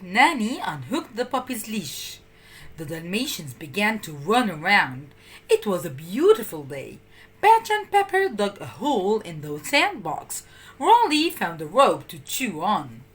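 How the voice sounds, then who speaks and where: Woman, children's storyteller, slow deliberate pace, hugely varied intonation, expressive read-aloud. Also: medium pitch. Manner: animated, theatrical, deliberate delivery.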